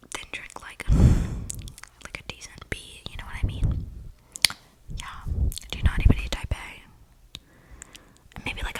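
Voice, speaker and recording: A woman playing an eccentric, flirty female vampire in a whispered ASMR role-play, rambling casually in breathy slang with audible breaths close to the mic. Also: close to an everyday voice, rough recording. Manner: slangy, gossipy, conspiratorial, rambling. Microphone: close ASMR microphone.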